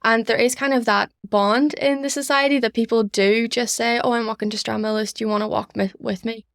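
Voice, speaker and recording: A woman's voice: a little high, fairly even intonation, fairly quick, no echo, studio quality. A young woman with a Northern Irish accent giving earnest, enthusiastic answers as an interview guest. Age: young adult. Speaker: student society member, podcast guest.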